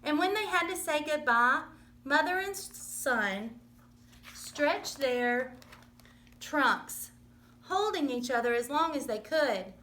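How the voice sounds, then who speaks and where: A woman reading a children's story aloud in an expressive, dramatic storytelling manner. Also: high-pitched, slow. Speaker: storybook reader.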